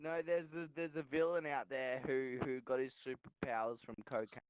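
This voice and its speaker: A man's voice: mid-range voice, moderate pace, smooth, dry recording, poor audio. A man in casual podcast banter with friends, arguing insistently and swearing freely. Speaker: podcast regular.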